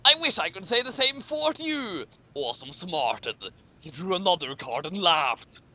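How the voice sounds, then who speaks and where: A man's voice: sits high, very animated, a little slow, pushed and bright. A man reading a story aloud and commenting on it casually as he goes. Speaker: co-host reading fan fiction aloud with commentary. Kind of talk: amused read-aloud commentary and casual chat.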